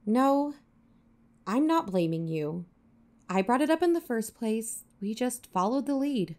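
A pragmatic woman investigator played by an actress in a fiction podcast. Her voice is fairly high and swings a lot in pitch, yet she is blunt and matter-of-fact. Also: expressive, measured pace, a little mellow. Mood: resigned. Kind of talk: fiction podcast audio drama acting.

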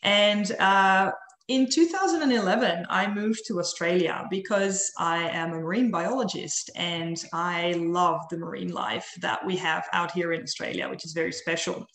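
A woman's voice: fairly low pitch, moderate pace, a bit bright, reverberant. Dutch woman presenting over a video call. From Netherlands. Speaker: marine biologist presenter. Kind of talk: online webinar presentation. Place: home room on video call. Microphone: video call audio.

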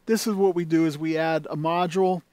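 A man's voice: a little high, steady tone, brisk, dark tone, no echo. A man demonstrating an organ in a shop, talking casually with many fillers and restarts. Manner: rambling, full of fillers and restarts. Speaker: organ dealer demonstrating an instrument. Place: indoors at an organ console.

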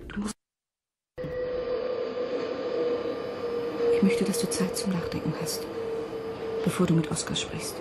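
An actress playing a calm, caring mission psychologist in a radio drama, with a hushed, breathy voice and gentle, measured delivery. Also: female voice, lowish voice, close to an everyday voice. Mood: grave. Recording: clearly noisy ambient background.

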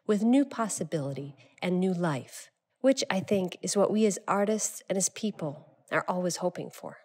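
A woman artist calmly reading a reflective, prepared statement about her studio practice. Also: mid-range voice. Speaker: exhibiting artist.

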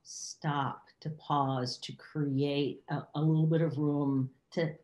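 Low-voiced older woman, echoey sound. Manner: expressive, halting as she searches for words. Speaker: podcast co-host. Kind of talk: reflective conversation. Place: home room with plants, video call. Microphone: computer mic on a video call. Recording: dull, echoey video-call sound.

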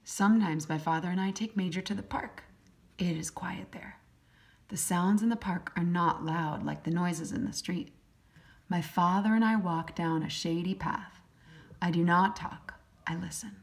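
A woman reading a children's picture book aloud as a teacher, in simple, deliberate short phrases. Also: on the low side, creaky. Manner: acts out sound effects. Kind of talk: children's storybook read-aloud.

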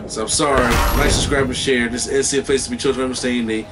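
A man's voice: medium pitch, fairly even intonation, fast talker. A man in his thirties hosting a reaction video with casual, off-the-cuff commentary into a desk mic in a small room. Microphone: desk microphone. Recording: distant voice over game music.

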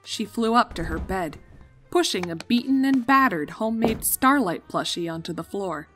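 A young woman narrates a story from a script. Her voice sits at a middle pitch, with music playing faintly behind her. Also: animated, normal speed.

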